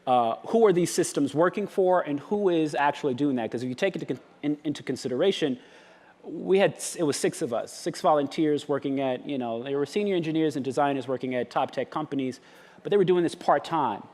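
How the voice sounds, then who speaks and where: A man's voice: fairly high pitch, fairly quick, a little mellow. A man speaking as a panelist at a live conference discussion, earnest and thoughtful, with frequent fillers and restarts. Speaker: nonprofit founder, panelist. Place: conference hall. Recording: long hall reverb.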